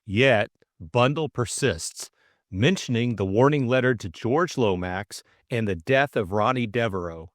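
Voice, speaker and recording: A man's voice: medium pitch, a little slow. A man narrating a scripted story expressively as an audiobook host.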